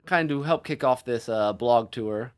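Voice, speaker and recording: A man's voice: mid-range voice, normal speed, smooth. A man co-hosting a podcast as an author, chatting casually in a rambling, self-deprecating way.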